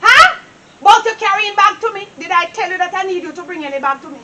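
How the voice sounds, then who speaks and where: A woman playing a fiery, devout Jamaican grandmother in a drama, scolding loudly and repeating her questions. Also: extremely high pitch, expressive, fast talker, full character voice. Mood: angry, scolding. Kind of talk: acted comedy drama dialogue. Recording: distant mic with some background noise.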